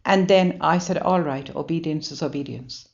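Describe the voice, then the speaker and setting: Low-voiced older Irish nun. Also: female voice, gravelly. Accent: Irish, Waterford. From Ireland. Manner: earnest, halting, many restarts. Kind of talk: interview reminiscence. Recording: dull lo-fi recording.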